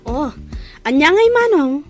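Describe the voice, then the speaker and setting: A woman's voice: high-pitched, sing-song, unhurried, soft background music. A woman playing a cheeky younger sister in an Ilocano audio drama, questioning and teasing. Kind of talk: Ilocano radio-style drama acting.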